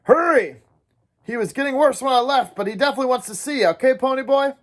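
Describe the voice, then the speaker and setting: A man's voice: very high-pitched, lively intonation, a little fast, vocal fry, pitched above the performer's own voice, heavily acted. A man voicing a brash, hotheaded teenage tough. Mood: urgent tough. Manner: urgent, brash, sneering drawl.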